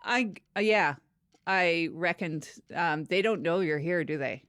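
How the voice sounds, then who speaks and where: Woman acting as awkward broom seller, lowish voice, deadpan, halting, rambling, improvised podcast comedy, dry sound. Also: clear, resonant tone, no room sound. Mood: awkward, casual. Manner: halting, rambling, matter-of-fact. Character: awkward, unfiltered small-broom salesperson and radio editor. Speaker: product demonstrator on a comedy podcast.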